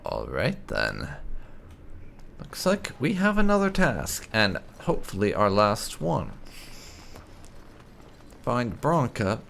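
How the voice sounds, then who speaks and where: A man's voice: lowish voice, wildly swooping pitch, takes it slowly, gravelly, pauses often, fairly bright tone, slight background noise. A man hosting a roleplay game video, giving commentary and speaking in character in a wry, musing way. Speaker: gaming video host.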